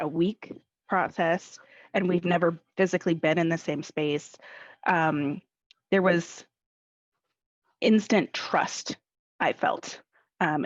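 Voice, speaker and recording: A woman speaks as an actor in a casual interview, in a dry, slightly lo-fi recording. She pauses hesitantly, then lands on words with emphasis. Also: lowish voice, no echo.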